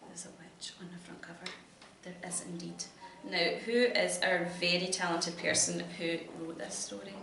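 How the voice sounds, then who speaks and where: A woman's voice: on the low side, level tone, normal speed, a crowd in the background. A woman with a Scottish accent reading a storybook to a child, encouraging and full of questions. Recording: echoey room, distant mic, noisy background.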